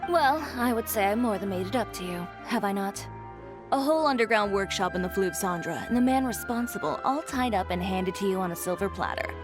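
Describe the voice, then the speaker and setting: A woman's voice: medium pitch, animated, brisk, lightly acted. Woman playing young female game character, confident designer, dry, composed, music behind. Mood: dry, teasing. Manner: self-assured, dry, composed. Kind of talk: scripted video game voice acting. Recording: background music under the dialogue.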